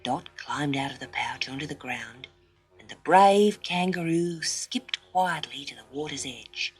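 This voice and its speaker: A woman reading a children's story as dramatic narration, expressive and full of suspense, often dropping to hushed tones. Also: deep voice, unhurried, husky, faint music behind, poor audio.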